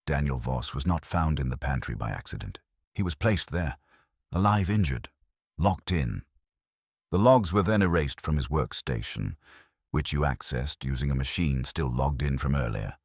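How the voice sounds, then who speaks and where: A man's voice: rumbling low, expressive, normal speed, breathy edge, no room sound, clean studio sound, heavily acted. A man voicing a commanding, coldly logical detective in an audiobook, formal and incisive as he confronts suspects. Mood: accusing, controlled. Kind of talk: audiobook detective story dialogue.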